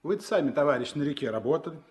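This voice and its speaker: A high-voiced, grumbling riverboat crewman played by a male actor in an old Soviet radio play. He argues in earthy, colloquial speech, needling with mocking rhetorical questions. The mono recording has a vintage feel. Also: animated, racing, darkish tone, lightly acted. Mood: sceptical, needling.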